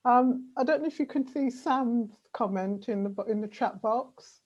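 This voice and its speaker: A woman runs an online meeting in a brisk, encouraging way, at times stumbling over her words as she juggles the timing. Her audio comes through a video call sounding slightly dull and muffled. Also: a little high, darkish tone. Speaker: meeting facilitator.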